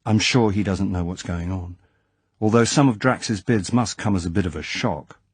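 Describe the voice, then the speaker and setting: A man voicing a composed male agent who speaks quietly and evenly. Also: low voice, husky, dry recording. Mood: calm. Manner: quiet, measured.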